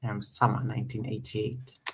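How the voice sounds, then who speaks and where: A man in his thirties hosting a casual live interview, relaxed and encouraging, often circling back mid-sentence. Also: fairly low pitch, normal speed, slight background noise. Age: 30s. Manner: relaxed, encouraging, talks in looping restarts. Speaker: host.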